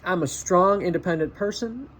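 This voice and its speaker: Male vlog host, slightly high voice, conversational and encouraging, speaking one-to-one as if to a friend. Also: moderate pace, a bit bright. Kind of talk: casual direct-to-listener motivational chat.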